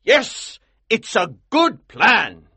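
A man voicing a forceful military colonel in an audiobook, urgent and emphatic, with a husky strained voice. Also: fairly high pitch, very animated, no room sound, pitched above the performer's own voice, exaggerated voice. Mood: excited. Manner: slow, emphatic, commanding. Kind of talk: audiobook character acting.

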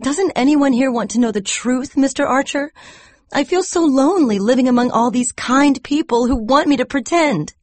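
A man voicing a worldly young countess, lonely and frank, in an airy, softened audiobook voice. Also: very high voice, normal speed, no echo, voice pushed up. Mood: pleading. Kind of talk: audiobook character voice.

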